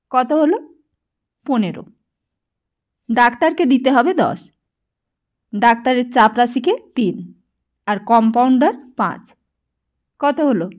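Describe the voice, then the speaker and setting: A woman voicing an older male office boss in an audiobook, patronising and self-justifying, with an emphatic way of talking. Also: sits high. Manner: emphatic, counting out sums aloud.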